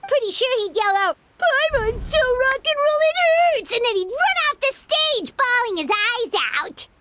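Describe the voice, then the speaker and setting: A tiny floating fairy companion from a game, with a squeaky, piercing childlike voice. The chatty, cheeky delivery bounces all over the scale, over faint noise and clicks. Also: female voice, expressive, moderate pace, pushed and bright, exaggerated voice. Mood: cheeky, boastful. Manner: speaks of self in third person. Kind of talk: animated game dialogue.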